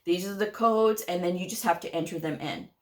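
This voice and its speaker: A woman's voice: on the low side, fairly quick, a little mellow. Emphatic woman giving career advice. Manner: direct and emphatic, says "okay?" and "guys" often, laughs lightly. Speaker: medical coding educator and channel host. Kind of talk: casual advice monologue to viewers.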